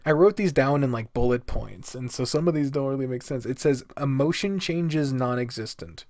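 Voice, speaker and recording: A man's voice: mid-range voice, moderate pace, darkish tone, dry recording, clean studio sound. A man giving a casual, rambling game review in a blunt way, swearing freely. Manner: blunt, profane. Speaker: video game reviewer, host.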